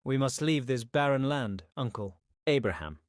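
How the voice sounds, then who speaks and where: Man as a lively, earnest young kinsman. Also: mid-range voice, moderate pace, dry recording, studio quality, close to an everyday voice. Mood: uneasy, urgent. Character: cautious, respectful nephew, a younger kinsman. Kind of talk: dramatised audio Bible story.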